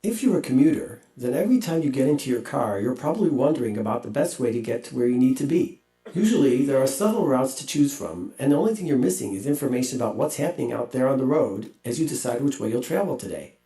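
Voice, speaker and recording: A man narrating a promotional video in a smooth, explanatory way. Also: mid-range voice, lively intonation, fast, mellow, reverberant, faint music behind.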